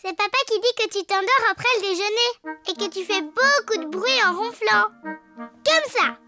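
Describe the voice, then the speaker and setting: A woman's voice: extremely high pitch, normal speed, music in the background. An actress playing a cheerful, chatty little girl piglet in a children's cartoon dub. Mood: chatty, playful.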